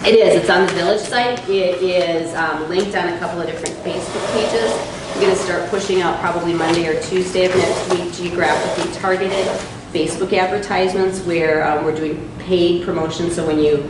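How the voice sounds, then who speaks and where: A woman's voice: fairly low pitch, steady tone, fairly quick. A woman leading a public housing meeting, walking the group through a survey with frequent fillers. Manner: explanatory, many fillers. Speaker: meeting facilitator. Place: church hall meeting. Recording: echoey room from far off with noise.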